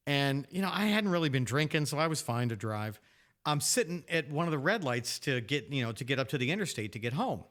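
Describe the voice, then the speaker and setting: A man's voice: medium pitch, very animated, a little fast, fairly bright tone. A man in his fifties co-hosting a podcast, telling casual anecdotes with teasing jokes and acted-out dialogue. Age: 50s. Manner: jokey, teasing, acts out quoted dialogue. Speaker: podcast co-host. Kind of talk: casual anecdotal storytelling and banter.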